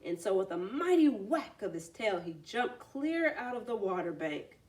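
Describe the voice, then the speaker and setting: A woman's voice: medium pitch, sing-song, moderate pace, darkish tone, far from the mic. A woman reading a children's story aloud expressively, adding simple explanatory asides.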